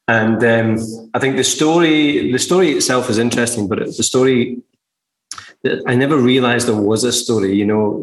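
Man, soft Scottish accent, slightly low voice, casual storytelling, frequent rephrasing, roomy echo. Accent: softened Scottish. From Glasgow, Scotland. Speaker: podcast guest, entrepreneur. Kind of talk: casual personal storytelling in an interview. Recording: echoey room sound.

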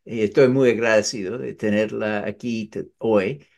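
A man hosting an interview, asking polite questions in a hesitant, halting way. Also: fairly low pitch, steady tone, vocal fry, darkish tone. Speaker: interview host.

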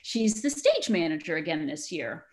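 A woman speaking as an enthusiastic, expressive interview guest, chatting casually about community theater. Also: low-pitched, moderate pace. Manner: enthusiastic, expressive big personality.

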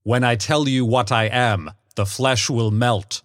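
Man voicing a harsh, monotonous, menacing golem. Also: medium pitch, no room sound, studio quality, close to an everyday voice. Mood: insistent, grave. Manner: slow, portentous declaiming. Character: gray-faced menacing male golem, pompous and humorless.

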